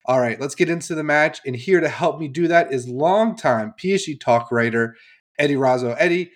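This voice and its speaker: A man's voice: on the high side, normal speed. Nasal man hosting a sports podcast. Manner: upbeat, chatty, laughs easily. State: nasal, recovering from a cold. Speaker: podcast host. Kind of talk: casual sports podcast chat.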